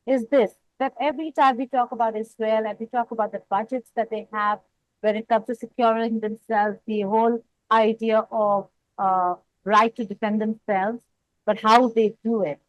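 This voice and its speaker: Female news interviewer, fairly high voice, deliberate and emphatic questioning, very dry studio-like sound. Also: steady tone, dry recording, lo-fi sound. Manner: deliberate, builds long questions with emphasis on key words. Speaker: news anchor interviewing a guest.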